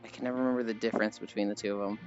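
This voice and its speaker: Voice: mid-range voice, flat delivery, rapid-fire. A livestreamer chatting casually and answering viewers in a rambling, playfully teasing way with mild swearing. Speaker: livestreamer, host. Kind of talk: casual livestream chat, reading and answering chat messages. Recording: dull lo-fi audio with music. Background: music.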